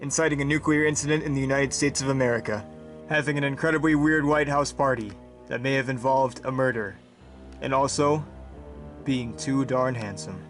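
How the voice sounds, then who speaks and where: A man's voice: mid-range voice, fairly even intonation, fairly bright tone, faint music behind, natural voice. A man doing a bumbling wizard judge. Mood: rambling. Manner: slow, rambling, absent-minded. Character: bumbling middle-aged male judge who is also a wizard. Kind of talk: comedic brickfilm voice acting.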